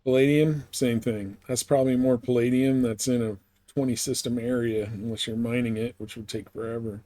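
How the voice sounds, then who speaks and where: A man's voice: mid-range voice, steady tone, normal speed, warm tone, no room sound. A man giving casual live commentary as he plays a video game, muttering offhand to himself.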